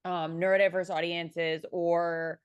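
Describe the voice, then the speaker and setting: A woman's voice: lowish voice, level tone, slow, smooth. A woman speaking conversationally as a panelist on a video call, often correcting herself and checking in with "right?".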